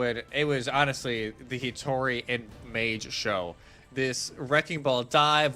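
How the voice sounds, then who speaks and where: A man's voice: mid-range voice, pushed and bright. A man giving casual, analytical live commentary as an esports caster, with music faintly behind him.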